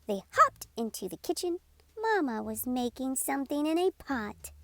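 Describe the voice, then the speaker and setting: A woman narrating a children's read-aloud in a deliberate, sing-song way as storytime host. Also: high-pitched, very animated, creaky, sharp tone, dry recording.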